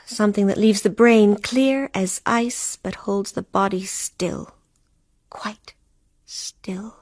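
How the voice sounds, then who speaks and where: An actress playing a weary, grieving wife in a radio play, hushed and brooding, at times slipping into a whisper. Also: female voice, medium pitch, slow, dry recording, clean studio sound. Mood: bleak, weary.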